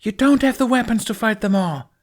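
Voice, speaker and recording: A man acts out a fierce young Viking woman in a fantasy audiobook. The voice leaps very high and loud, hollering urgently with a strained edge. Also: brisk. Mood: desperate warning.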